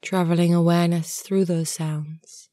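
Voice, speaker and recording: Slow, low-pitched woman guiding meditation. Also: flat delivery, no room sound, clean studio sound. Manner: soothing, unhurried, gentle. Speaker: yoga nidra meditation guide, channel host. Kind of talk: guided meditation narration.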